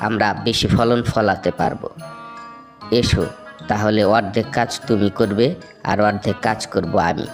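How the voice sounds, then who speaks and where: Guy doing an honest little cartoon bird, kinda low voice, polite n earnest, bit of music behind. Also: natural voice. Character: honest, hardworking, trusting small male tailorbird farmer. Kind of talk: cartoon fable voice acting.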